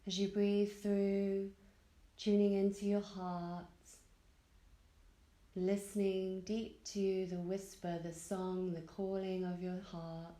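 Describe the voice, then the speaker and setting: A woman yoga teacher leading a guided meditation in a gentle, soothing manner, leaving long pauses between phrases. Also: medium pitch, completely flat delivery, roomy sound. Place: indoor room at a retreat.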